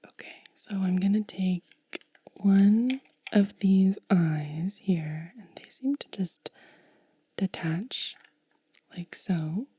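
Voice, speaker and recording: A woman speaking in a soft, breathy whisper as an ASMR host, gentle and unhurried, close to the microphone. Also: medium pitch, dry recording. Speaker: ASMR video creator and host.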